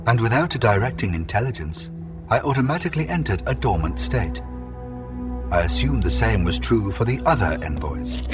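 An actor playing a polite, emotionless android envoy in an audio drama, speaking in a formal, measured, impassive way. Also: male voice, lowish voice, big pitch swings, music in the background.

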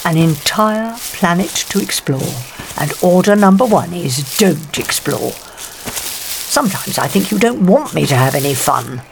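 An actress playing a headstrong, curious professor in a science fiction audio drama, haughty and wry in manner. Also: female voice, on the low side, expressive, unhurried, creaky, background noise. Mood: indignant, wry. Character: headstrong, curious woman professor exploring an alien planet.

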